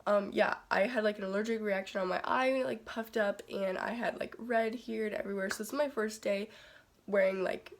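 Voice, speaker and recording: A teenage girl chatting casually to her phone camera in a beauty vlog, her voice raspy and congested from a cold. She rambles with lots of "like" and "um". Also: medium pitch, fairly even intonation, a little fast. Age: teens. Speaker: beauty vlogger.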